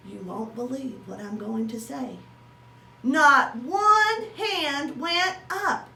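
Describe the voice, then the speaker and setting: A woman reading a children's story aloud in an animated way, drawing out words for dramatic emphasis. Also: high voice, takes it slowly, creaky. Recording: roomy echo with faint noise.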